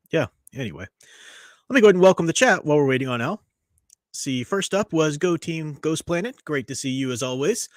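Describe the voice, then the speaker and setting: Man, tired, casual livestream host, rambling, filler-heavy, mid-pitched, dry close sound. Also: no echo. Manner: rambling, chatty, with many fillers and restarts. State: tired after a long day. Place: at home.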